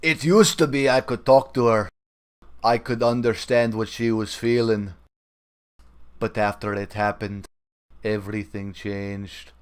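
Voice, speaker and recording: A man voicing a troubled, solemn dwarf with slow, portentous delivery, reading a comic book dramatically. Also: fairly low pitch, steady tone, clear, resonant tone, lightly acted. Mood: grave, haunted.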